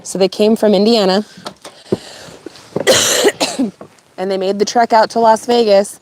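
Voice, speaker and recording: A middle-aged woman from California hosting a livestream, telling a rambling family story in a casual, animated way and often breaking into laughter. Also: mid-range voice, takes it slowly, a little hiss or hum. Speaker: channel host.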